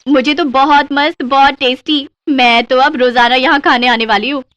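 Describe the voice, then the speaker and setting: Woman playing an eager, high-voiced young wife. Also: steady tone, moderate pace, a bit bright, no room sound, lo-fi sound. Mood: delighted. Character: eager wife of a food blogger, travelling mother. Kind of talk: Hindi moral-story cartoon voice acting.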